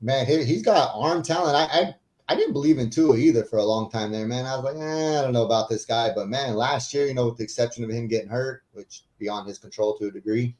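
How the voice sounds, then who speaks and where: A man's voice: mid-range voice, fairly quick, a little mellow, low-quality recording. A man calling in to a sports show, talking with enthusiasm and emphasis. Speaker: caller. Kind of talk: casual sports talk.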